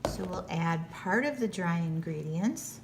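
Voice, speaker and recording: A woman's voice: lowish voice, expressive, measured pace. An American woman giving patient, step-by-step cooking instruction in simple, plain terms. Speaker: teacher giving a cooking demonstration. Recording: noticeable background noise.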